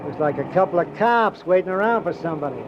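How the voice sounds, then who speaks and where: A fairly high male voice as a chatty, blunt city cabbie in vintage radio drama. He is folksy and streetwise. The recording is muffled, with clear vehicle noise behind him. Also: animated, fast. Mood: watchful. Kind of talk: 1940s radio crime drama acting.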